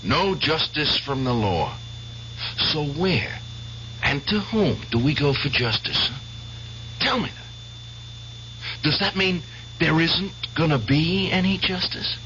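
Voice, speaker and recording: An actor playing a bitter returned war veteran bent on deadly justice, insistent and challenging, in a 1970s radio drama. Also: male voice, a little high, lively intonation, slow pace, pauses often, sharp tone, full character voice. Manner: insistent, challenging with pointed questions. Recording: muffled old radio broadcast, faint hiss.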